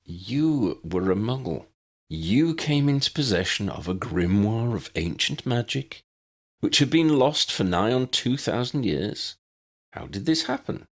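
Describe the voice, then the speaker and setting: A man voicing an erudite, unflappable old wizard in a fantasy audiobook, measured and pedantic with a needling edge. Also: mid-range voice, sing-song, pitched above the performer's own voice. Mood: incredulous, wry. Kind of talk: fan-fiction audiobook character voice.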